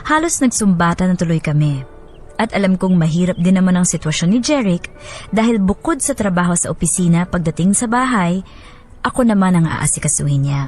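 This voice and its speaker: A woman's voice: on the low side, lively intonation, brisk, darkish tone, natural voice. Woman acting a confiding wife, music underneath. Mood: rueful, confiding. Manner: reflective, confiding. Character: wife reflectively telling her bittersweet marriage story. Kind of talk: scripted first-person radio drama narration.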